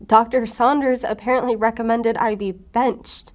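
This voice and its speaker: A woman's voice: fairly high pitch, fairly even intonation, moderate pace, lightly acted. An actress playing an earnest, determined female agent in an audio drama, pleading and pressing. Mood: agitated. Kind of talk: audio fiction drama acting.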